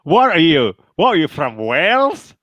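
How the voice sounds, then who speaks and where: A man playing a violent, boastful Glasgow man in an improv comedy scene, with a Scottish accent and gruff, deadpan threats. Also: fairly high pitch, measured pace, no room sound, exaggerated voice.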